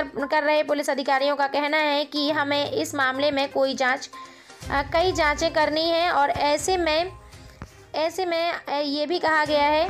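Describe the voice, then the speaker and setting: High-voiced woman presenting informal online news. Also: a bit bright. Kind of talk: informal news narration addressed to viewers.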